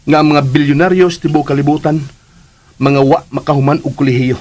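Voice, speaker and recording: An actor playing a lovesick, teasing young son in a radio drama, earnest and playful, with a Filipino accent. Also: male voice, a little high, fairly even intonation, brisk, a little mellow, lightly acted. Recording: music under the voice.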